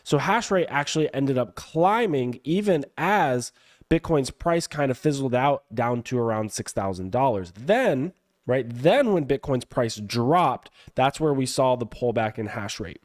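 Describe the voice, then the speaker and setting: Raspy man with a cold. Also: medium pitch, animated. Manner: measured, explains step by step, asks himself questions. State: hoarse from a cold. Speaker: guest crypto analyst. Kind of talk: explanatory market analysis.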